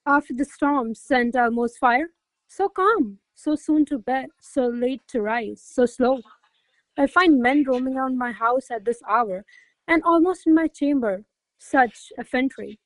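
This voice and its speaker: A woman's voice: sits high, measured pace, no room sound, poor audio. A woman playing an imperious, hot-tempered noblewoman scolding her servants in a theatrical radio play. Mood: angry, impatient. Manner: haughty, commanding, theatrical exclamations. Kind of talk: radio play acting of a classic comedy.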